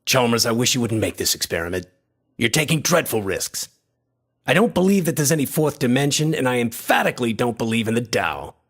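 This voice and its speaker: An actor playing an anxious, disapproving friend who also narrates a radio horror drama, earnest and formal with a husky voice. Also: male voice, medium pitch, expressive, a little fast, a bit bright. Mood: worried, disapproving.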